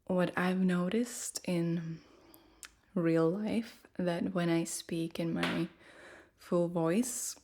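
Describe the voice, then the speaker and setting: A woman from Latvia with a distinct Latvian accent, chatting casually and fairly softly in her full voice, often pausing with "um". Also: fairly low pitch, noisy background. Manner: relaxed, hesitant with many ums, talking quite softly. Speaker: ASMR creator.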